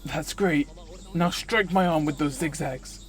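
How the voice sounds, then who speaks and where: A man's voice: fairly high pitch, measured pace, natural voice. A man voicing a melodramatic, pompous young man in a visual novel. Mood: encouraging. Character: self-important, theatrical young man, melodramatic mad-scientist type.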